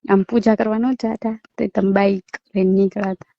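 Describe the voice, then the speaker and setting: A woman with a creaky edge to her voice chats casually over the phone. She sounds coy and evasive, and the recording is dull and dry. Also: mid-range voice, level tone, fairly quick, no echo. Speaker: phone caller. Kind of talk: casual private phone chat. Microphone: phone call recording.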